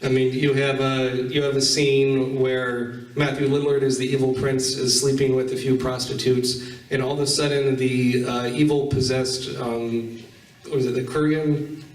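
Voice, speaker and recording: A man hosting an informal convention panel, talking in a halting, conversational way. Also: mid-range voice, flat delivery. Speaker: panel host. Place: convention panel room with audience. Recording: echoey room, distant microphone.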